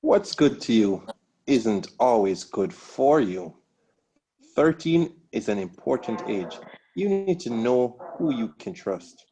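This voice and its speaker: A man reading the part of a patient, caring expectant father in a measured way at a drama script read. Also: mid-range voice, very animated, mellow, low-quality recording. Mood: earnest. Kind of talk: dramatic table read of a web series script.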